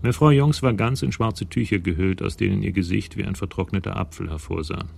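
A man narrating a scripted crime story in a radio drama, with a gravelly voice. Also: low voice, fairly quick, a little mellow, a little hiss or hum.

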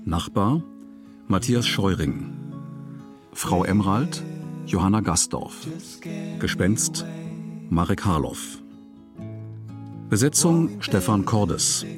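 A man reading scripted radio play credits as an announcer, measured and formal. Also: deep voice, very animated. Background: plucked guitar music.

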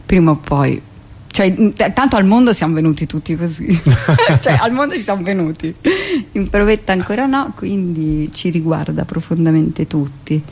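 A woman's voice: low-pitched, a little mellow. A woman actress, chatting in a radio interview, laughing often and acting out quoted lines. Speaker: actress and guest.

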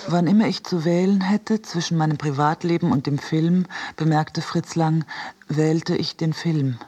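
A reader delivering a scripted literary essay for radio in a measured, deliberate way. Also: mid-range voice, steady tone, warm tone, breathy edge.

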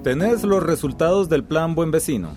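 An actor playing an arrogant, scheming Central American company boss in a radio drama, pompous and domineering. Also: male voice, on the high side, lively intonation, moderate pace, over loud music, natural voice. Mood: impatient, scornful. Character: arrogant, scheming soft-drink company boss, contemptuous of villagers.

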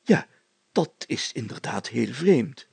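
A man's voice: mid-range voice, animated, gravelly, slightly rough, no room sound, full character voice. A man voicing a pompous, fatherly and easily flustered village mayor in a storybook, stammering and drawing out his phrases. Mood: indignant. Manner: stammering, deliberate, drawn-out phrasing.

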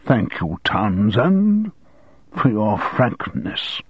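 A man's voice: mid-range voice, lively intonation, unhurried, husky, no echo, lo-fi sound. A man voicing a stiff, flustered older headmaster in an audiobook, formal and straining to stay restrained. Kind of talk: audiobook character dialogue.

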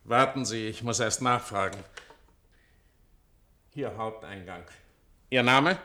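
A gruff gatekeeper in a radio play. The voice is forceful and sharp-edged at a middling male pitch. He is curt and officious, with a streak of grim humour. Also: slow. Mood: officious, sardonic. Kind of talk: German radio play acting.

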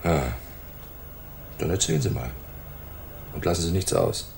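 An actor playing a self-important, hard-nosed police inspector in a radio play, brusque and commanding, with a gravelly voice. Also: male voice, low-pitched, big pitch swings, measured pace, darkish tone, slight background noise, heavily acted. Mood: brusque, suspicious.

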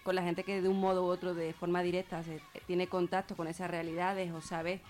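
A woman's voice: on the low side, flat delivery, brisk, darkish tone. A woman with a Spanish accent from Spain speaking informally as a radio guest, explaining things reflectively and repeating words for emphasis. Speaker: guest speaker on feminist therapy and gender violence.

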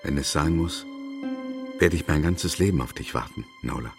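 A man's voice: very deep voice, normal speed, vocal fry, over music. An actor playing a grave, tender writer devoted to his love in a German radio drama.

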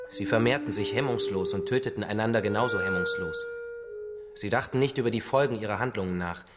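An actor playing a patient, solemn father-like survivor who tells stories gently and with measured phrasing in a radio drama. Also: fairly low pitch, lightly acted. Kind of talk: radio drama acting, storytelling.

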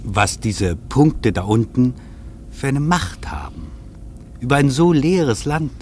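A contemplative male air traveller tells his journey in a radio play. His voice sits at a medium pitch and his delivery is measured. A faint noise hums behind the vintage recording. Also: very animated, darkish tone. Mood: pensive. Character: reflective male air traveller observing from a plane. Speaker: travelling narrator.

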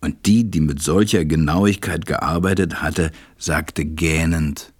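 An actor playing a gentle, reflective storyteller, narrating a storybook radio play. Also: male voice, rumbling low, fairly even intonation, slow pace, husky, no room sound, studio quality, heavily acted. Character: reflective adult male narrator recalling a little friend.

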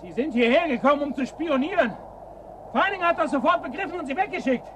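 Cynical, high-pitched gruff looter. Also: male voice, animated, fast talker. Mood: suspicious, defiant. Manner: gruff, curt, mocking. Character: cynical, suspicious male looter in a frozen ruined town. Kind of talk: German radio drama acting. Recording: 1980s radio drama, loud outdoor noise.

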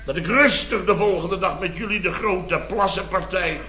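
A man's voice: sits high, normal speed, very noisy, lightly acted. A Dutch man acting a blustering, self-important building-firm boss in a radio comedy, rambling indignantly. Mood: exasperated, amused. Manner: indignant, rambling storytelling with tag words. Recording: dull, echoey vintage radio recording with audience.

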